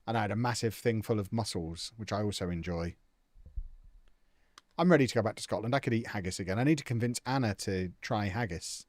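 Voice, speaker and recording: A man's voice: fairly low pitch, fairly quick, darkish tone, dry recording, studio quality. A young man chatting casually and jokily on a live stream, answering viewers' comments as he plays a football management game.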